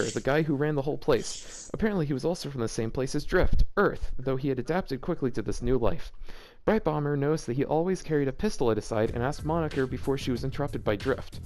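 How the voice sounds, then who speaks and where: A man's voice: medium pitch, darkish tone, slight background noise. A man hosting a casual read-aloud of fan fiction, breaking it up with incredulous, joking commentary. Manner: incredulous asides breaking up the reading.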